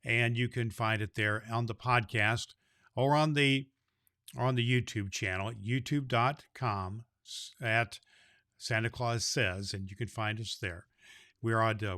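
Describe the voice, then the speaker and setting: A man playing a jolly, big-hearted Santa Claus who hosts a children's holiday story podcast in a folksy, halting, rambling way. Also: medium pitch, bright, forceful tone, dry recording, clean studio sound, close to an everyday voice.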